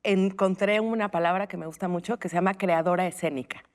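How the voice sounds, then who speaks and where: A woman's voice: on the low side, fairly even intonation, a little fast, darkish tone. A woman with a Mexican accent answering interview questions in a reflective, informal, conversational way. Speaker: interview guest, playwright and stage creator.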